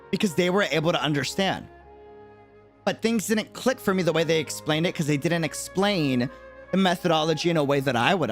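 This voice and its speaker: High-pitched, animated male livestreamer. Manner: conversational, earnest, explaining by examples. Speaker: game livestreamer. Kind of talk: casual livestream commentary.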